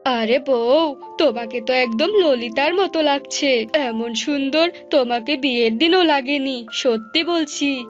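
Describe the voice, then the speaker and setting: A woman's voice: sits high, a little slow. Woman playing greedy male bird, gloating, bossy, drawling, cartoon fable, music underneath. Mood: flattering, smug. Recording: dull lo-fi audio with music.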